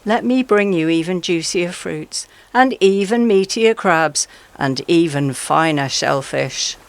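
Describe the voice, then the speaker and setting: A woman playing a sly, flattering predator animal, a fossa, coaxing with mock deference in a radio play. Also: fairly low pitch, slow pace, dry recording. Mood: urging, flattering. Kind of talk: radio play character acting.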